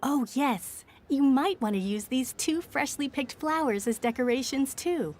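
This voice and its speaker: A woman playing a polite, helpful young shopkeeper with a courteous, gentle manner in a video game. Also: high-pitched, animated, a little slow, noisy background. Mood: obliging.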